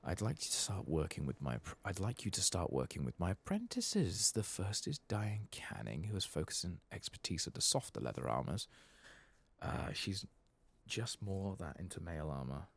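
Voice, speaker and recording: A man softly voicing a businesslike master armorer who gives instructions in a fantasy game. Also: sits low, very animated, normal speed, gravelly, breathy edge, heavily acted. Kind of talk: soft-spoken reading of game quest dialogue.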